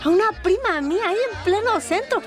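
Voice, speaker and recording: An actress playing a chatty, colloquial barroom waitress who dreams of singing, giggly and rambling, in a radio drama. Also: female voice, very high-pitched, expressive, fast talker. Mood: worried, gossipy. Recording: music bed behind the voice.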